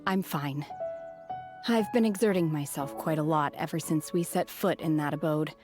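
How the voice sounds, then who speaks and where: A woman's voice: low voice, moderate pace, over music, natural voice. A woman playing an aloof, solemn young hermit in a video game, reserved, subdued and formal in speech. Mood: subdued, weary.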